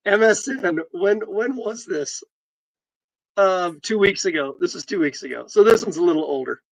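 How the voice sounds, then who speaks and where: A man co-hosting a casual real estate talk show, reading headlines aloud and joking, with halting restarts. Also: animated, vocal fry, no echo, poor audio. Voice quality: deep voice. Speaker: podcast host and realtor.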